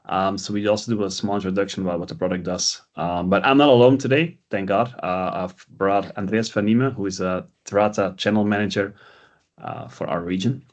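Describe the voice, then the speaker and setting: A man's voice: sits low, fairly even intonation, fairly quick. A man hosting a recorded webinar, talking in a hesitant, conversational way with many fillers. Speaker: webinar host.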